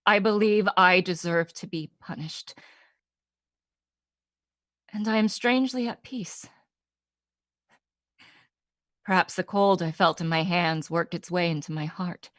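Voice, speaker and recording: Eerily calm confessing murderess, close and dry. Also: female voice, fairly low pitch, no echo. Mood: resigned, peaceful. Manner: measured, formal, haunted, halting. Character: eerily calm woman confessing to a bloody killing. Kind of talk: dramatic monologue, gothic confession.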